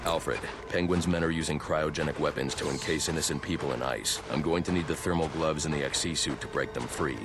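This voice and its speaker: A man's voice: very deep voice, a little fast, few pauses, noisy background. A man playing a grim masked vigilante detective in a video game, terse, stern and brooding. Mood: grim, determined.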